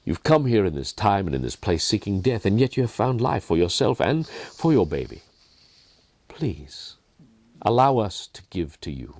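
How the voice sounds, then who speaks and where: A kindly, earnest miner in a storybook tale, played by a male reader. His low voice swings widely as he stresses his words. The phrasing is deliberate and the sound is dry. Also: sing-song, a little mellow, no echo. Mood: gentle pleading. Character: kindly, earnest, wry Outback miner of gentle faith.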